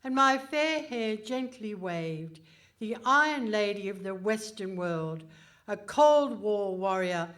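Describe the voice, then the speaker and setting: A woman playing a haughty, pompous Iron Lady politician in a comic radio play, speaking in a stately, deliberate manner. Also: mid-range voice, lively intonation, fairly bright tone. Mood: pompous, self-important. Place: hall stage before a live audience.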